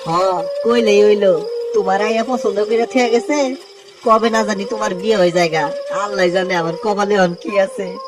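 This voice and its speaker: A man's voice: high-pitched, steady tone, normal speed, close to an everyday voice. A man playing a jealous, fearful young village lover in an amateur drama, with a Tangail Bengali accent. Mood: worried, lovesick. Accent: Tangail regional Bengali, Bangladesh. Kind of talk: amateur village love-story dialogue. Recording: distant recording with loud music behind.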